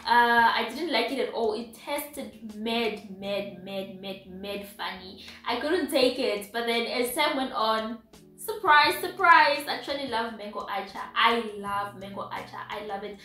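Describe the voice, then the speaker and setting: A young woman from Zimbabwe vlogging casually to camera, chatty and animated with slangy asides. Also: fairly high pitch, measured pace, distant mic, faint music behind. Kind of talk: casual vlog chat to camera. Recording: echoey room, voice off-mic.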